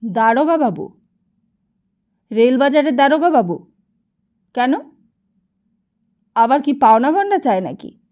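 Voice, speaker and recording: A woman's voice: high voice, animated, a little mellow, dull audio. A woman reading the part of a stingy, suspicious village landlord who gives orders and fires off questions in an audiobook. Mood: testy, questioning. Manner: peremptory, rapid-fire questioning.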